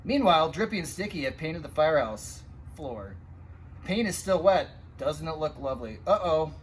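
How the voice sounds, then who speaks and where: Male storytime reader, slightly high voice, expressive and child-directed, some background noise. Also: moderate pace. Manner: expressive, addressing young listeners with asides and sound effects. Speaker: firefighter reading a children's picture book aloud. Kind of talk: animated storytime read-aloud.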